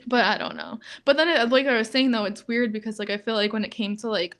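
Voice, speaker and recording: A young woman in her twenties co-hosting a podcast in casual chat, talking in a flat monotone and filling nearly every phrase with "like". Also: quick pace. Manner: says "like" constantly, flat and low-key, laughs often. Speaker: podcast co-host.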